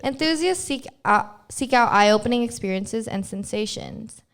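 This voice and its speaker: A young woman co-hosting a casual podcast, chatty and quick to laugh as she reads out personality test results. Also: fairly high pitch, lively intonation. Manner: giggly, chatty, laughs often. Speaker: podcast co-host. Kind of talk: casual chat, reading test results aloud.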